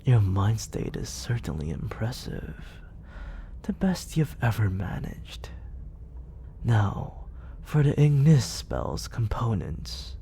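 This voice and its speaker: A man voicing a scheming villain mentor in an ASMR roleplay, speaking in a slow, coaxing, hushed whisper close to a studio mic. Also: fairly low pitch, very animated, slight background noise. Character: soft-spoken male villain mentor, scheming. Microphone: close studio condenser.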